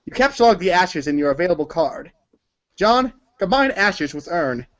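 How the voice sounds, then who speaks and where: High-voiced man reading a story theatrically. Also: very animated, moderate pace, dull audio. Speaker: narrator of a group reading. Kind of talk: theatrical read-aloud storytelling.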